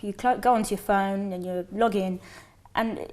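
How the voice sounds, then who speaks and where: A woman's voice: mid-range voice, steady tone, moderate pace, a little mellow. A young woman from Bangladesh, a guest in a TV studio, speaking English as her second language and telling her story earnestly, with lots of "you know" and "um". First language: not English. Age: young adult. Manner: earnest, many fillers and you knows. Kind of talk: personal story in a studio interview.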